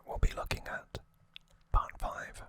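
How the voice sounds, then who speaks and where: An ASMR host whispering a recap in a breathy, unvoiced voice, hushed and pausing between short phrases.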